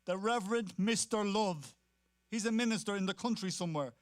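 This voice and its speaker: Actor as an anxious, high-voiced Dublin priest. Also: male voice, brisk, no room sound, studio quality, natural voice. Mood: anxious, insistent. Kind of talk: staged dramatic reading of a novel.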